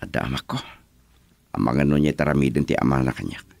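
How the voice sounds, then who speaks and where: A man acting a brooding, rough father in an Ilocano radio drama. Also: very deep voice, fairly quick, darkish tone, dry recording, studio quality.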